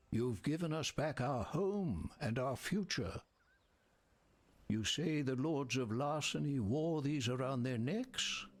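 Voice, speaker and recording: An actor playing a learned villager in a video game, husky and measured, with articulate, old-fashioned phrasing. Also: male voice, medium pitch, exaggerated voice. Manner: measured, articulate, slightly archaic wording. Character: grateful, learned male villager fond of old artifacts. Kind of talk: video game character acting.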